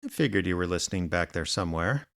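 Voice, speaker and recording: An actor playing an older, wry private eye with a dry, sardonic, kindly manner in a radio drama. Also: male voice, low-pitched, expressive, fast talker, gravelly, a bit bright, a little hiss or hum, full character voice. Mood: dry banter. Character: aging wry small-town private eye, gruff but kindly. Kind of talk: radio drama acting with narration.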